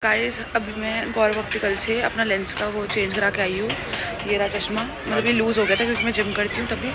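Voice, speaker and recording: A woman's voice: medium pitch, little pitch movement, fairly quick. A woman, chatting casually and playfully to her vlog viewers on a phone. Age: 20s. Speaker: vlogger. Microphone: phone at arm's length. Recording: noisy handheld vlog with traffic and ambience.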